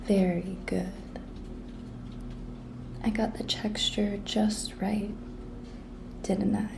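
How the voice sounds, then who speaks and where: A woman playing a cute, pushy young intern coaxing her boss in an intimate, breathy ASMR whisper, coy and teasing. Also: medium pitch, little pitch movement, drawn-out words, background noise. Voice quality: breathy whisper, soft-spoken. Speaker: ASMR roleplay performer.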